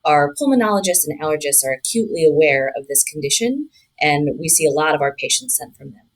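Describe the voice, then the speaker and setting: A woman's voice: low voice, very animated, fast, rough recording. A woman, a physician guest, explaining in an interview.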